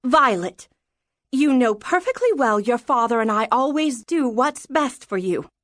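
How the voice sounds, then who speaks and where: A woman's voice: fairly high pitch, lively intonation, measured pace, fairly bright tone, no room sound, clean studio sound, pitched above the performer's own voice. A woman voicing a stern, reproachful mother scolding her daughter in an audiobook story. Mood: firm, upset. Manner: reproachful, firm.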